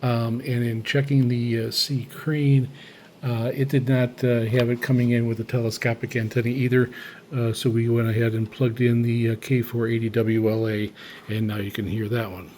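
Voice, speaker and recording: A man talks casually through a hands-on gear demonstration in a flat, even voice. He is halting and deliberate, working through it unscripted. Faint noise sits behind him. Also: medium pitch. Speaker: shortwave radio hobbyist demonstrating receivers.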